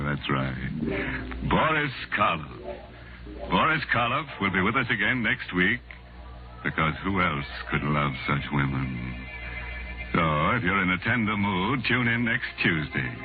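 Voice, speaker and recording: A macabre horror host of a 1940s radio mystery show, with a very deep voice and slow delivery. He is sly and tongue-in-cheek, drawing out his menace. Music plays clearly behind the tinny sound. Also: big pitch swings. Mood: sly teasing. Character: gleefully macabre, teasing male horror host.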